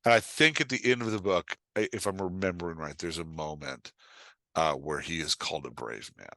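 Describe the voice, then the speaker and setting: A man's voice: low voice, a little fast, gravelly, a bit bright, dry recording. A man giving a casual interview as a guest writing coach, explaining craft emphatically and checking in with the listener as he goes.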